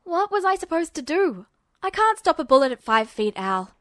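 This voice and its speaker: A woman's voice: very high voice, very animated, moderate pace, a bit bright, dry recording, clean studio sound, voice pushed up, full character voice. A woman voicing a stubborn, cocky teenage older brother with a casual, brusque manner in an audiobook story. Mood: defensive. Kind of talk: audiobook character voice.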